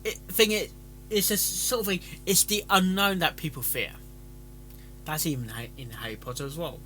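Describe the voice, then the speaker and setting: A man's voice: a little high, steady tone, moderate pace, pushed and bright, soft background music, low-quality recording. A man giving rambling, casual commentary as a stream host, stammering and often repeating words as he goes. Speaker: host of a fan fiction reading stream.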